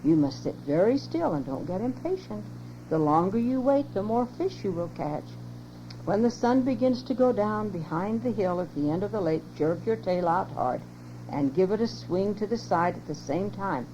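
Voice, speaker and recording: An older woman reading aloud, voicing a sly, smooth-talking old male fox in a storybook, on a lo-fi home cassette tape with hum. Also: mid-range voice, expressive, normal speed, lightly acted. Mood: coaxing.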